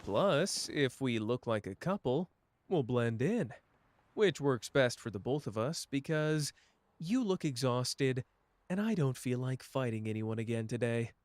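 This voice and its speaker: A man doing a slow, flirtatious charmer. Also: mid-range voice, lively intonation, darkish tone, no room sound, lightly acted.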